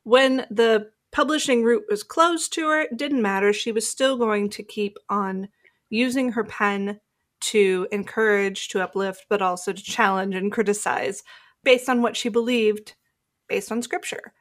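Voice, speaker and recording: This is a woman with a medium-pitched voice. She co-hosts a podcast and speaks in a reflective, conversational style. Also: a little slow. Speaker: podcast co-host.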